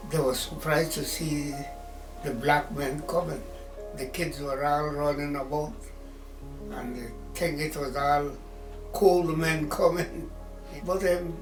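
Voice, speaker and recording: Very old man reminiscing slowly. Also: on the high side. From Belize. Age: 100s. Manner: slow, reminiscing, gentle. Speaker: interviewee. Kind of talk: recalling memories in an interview. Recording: roomy, from a distance.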